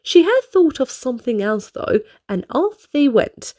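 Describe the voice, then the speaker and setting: A high-voiced woman reads a children's story aloud. Her delivery is animated, swinging widely in pitch, and she speaks directly to young listeners. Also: a little slow. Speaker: children's book reader.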